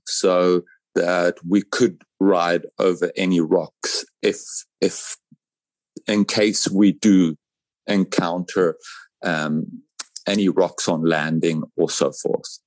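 A man's voice: sits low, a little mellow, dry recording. A man, explaining things reassuringly as an interview guest and repeating phrases for emphasis. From South Africa. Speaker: paragliding pilot, interview guest. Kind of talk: conversational interview answers.

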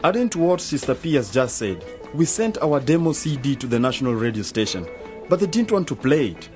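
An actor plays a practical young man in a radio drama. He speaks matter-of-factly at a medium pitch, and music is clearly heard behind him. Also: sing-song, fairly quick, darkish tone, close to an everyday voice. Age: young adult.

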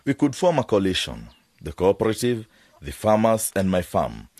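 Casting: earnest male peanut farmer, low husky voice, bargaining insistently in a radio play. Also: huge pitch swings, normal speed, darkish tone, no room sound. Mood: persuasive. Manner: insistent, persuasive. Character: earnest farm owner and peanut producer bargaining for help. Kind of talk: radio drama acting.

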